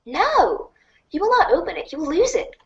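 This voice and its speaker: A woman's voice: very high voice, very animated, normal speed, a bit bright, lo-fi sound, pitched above the performer's own voice, heavily acted. A woman playing a cheerful, quirky teenage girl with a bubbly, teasing, emphatic way of talking in a fan audio drama. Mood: earnest, upbeat.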